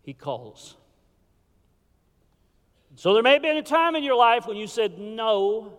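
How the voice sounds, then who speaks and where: A man preaching a sermon in a church, swinging from fervent calls to hushed, low warnings. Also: big pitch swings, takes it slowly. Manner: impassioned preaching with sudden drops to a hush. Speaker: pastor. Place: church sanctuary. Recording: hall reverb.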